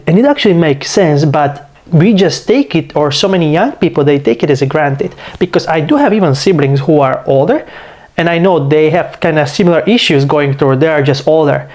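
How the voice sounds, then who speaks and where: A man's voice: a little high, darkish tone. A man in his twenties from Slovakia, speaking English with a Slovak accent, a little under the weather, rambling casually as a channel host and filling his talk with "you know" and "like.". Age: 20s. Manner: loose and rambling, leaning on fillers like "you know" and "like". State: a bit sick. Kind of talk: casual vlog monologue.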